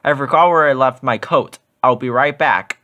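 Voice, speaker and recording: A man's voice: medium pitch, normal speed, pushed and bright, muffled recording, close to an everyday voice. A man voicing a forgetful, flustered male schoolteacher in a children's storybook, with a fretful manner.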